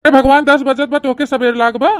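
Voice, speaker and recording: A woman's voice: high voice, steady tone, fairly quick, heavy background noise, close to an everyday voice. A woman voicing a henpecked young newlywed husband in a comedy cartoon, whiny and exasperated, with an Awadhi accent. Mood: indignant. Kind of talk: exaggerated cartoon comedy dialogue.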